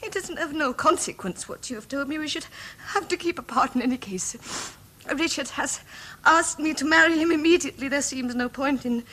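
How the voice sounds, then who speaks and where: A woman's voice: high-pitched, fairly quick, sharp tone, low-quality recording. An actress playing a proud, jealous, wounded young woman in love in a period drama, speaking with impassioned, formal diction over some background noise. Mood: resigned. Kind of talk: period radio drama acting.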